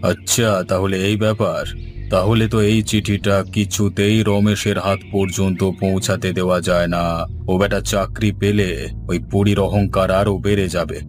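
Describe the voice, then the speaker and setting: A man's voice: deep voice, little pitch movement, a little slow. A man voicing a nosy, folksy grown village man in a cartoon, with theatrical drawling delivery. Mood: sly, scheming. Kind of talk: Bengali cartoon voice acting. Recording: music under the voice.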